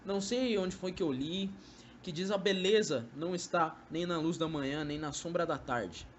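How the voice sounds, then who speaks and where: A man's voice: a little high, normal speed, highs cut off, a little hiss or hum, lightly acted. A young man acting a sly, coaxing former lover in an amateur radio drama, speaking Brazilian Portuguese with poetic, theatrical delivery. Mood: dreamy, persuasive.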